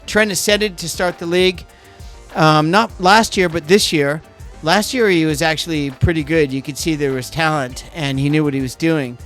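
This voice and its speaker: A man's voice: a little high, a bit bright, soft background music. A middle-aged man with a Canadian accent hosting a podcast, chatting casually and rambling off on tangents. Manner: rambling, digressive, quick to go off on tangents. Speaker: podcast host. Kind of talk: casual chat.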